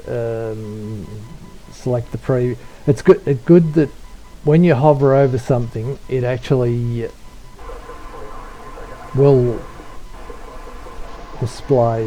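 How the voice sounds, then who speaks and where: A man giving an unscripted software tutorial, talking in a low-key, hesitant way as if thinking aloud. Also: fairly even intonation, drawn-out words, long pauses, faint music behind, rough recording. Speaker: tutorial presenter, radio hobbyist.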